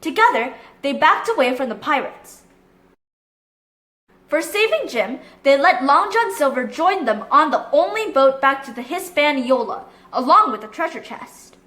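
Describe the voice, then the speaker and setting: A high-voiced woman reads a children's story aloud with very lively intonation. Her delivery is expressive and bright, and the room adds a noticeable echo. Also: unhurried. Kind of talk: expressive read-aloud narration.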